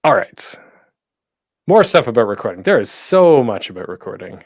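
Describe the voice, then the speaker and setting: A man with a very lively, swinging delivery and an average-pitched voice gives a casual online how-to talk. He is chatty and playful, pausing deliberately to stress things. The sound is dry and close on the microphone. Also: no room sound. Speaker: panel host and podcasting instructor.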